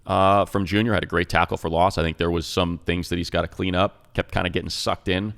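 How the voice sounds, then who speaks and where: A man co-hosting a sports podcast, a play-by-play announcer who talks with energy and jumps in quickly with jokes. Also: low-pitched, creaky. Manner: energetic, quick to jump in and joke. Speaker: radio play-by-play announcer, co-host.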